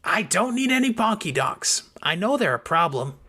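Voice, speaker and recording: Man doing a childlike creature girl, high bright voice, eager, naive, exclamatory, storybook character. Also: big pitch swings, moderate pace, slightly rough, heavily acted. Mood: insistent.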